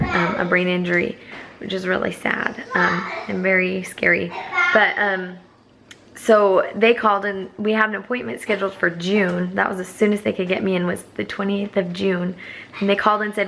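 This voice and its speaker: A hoarse young woman chatting to camera as a foster parent, rambling with many fillers. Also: mid-range voice, a bit bright, a little hiss or hum. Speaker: vlogger. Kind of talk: casual chat to camera.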